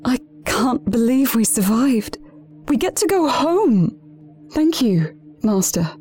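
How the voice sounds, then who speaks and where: A woman's voice: a little high, sing-song, slow, warm tone, faint music behind. A woman acting an emotional, trusting, awestruck soldier in an audio drama. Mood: relieved.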